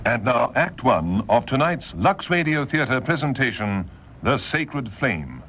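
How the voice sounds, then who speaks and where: A man's voice: medium pitch, very animated, unhurried. A man announcing a radio drama in a formal, theatrical, scripted vintage style. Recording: dull lo-fi radio broadcast. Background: prominent music.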